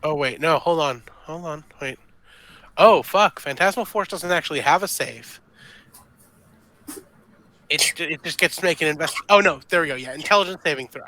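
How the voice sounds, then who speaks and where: A player in a tabletop roleplaying game, chatting informally and thinking aloud in a halting way while describing moves. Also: medium pitch, bright, forceful tone. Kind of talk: casual game chat.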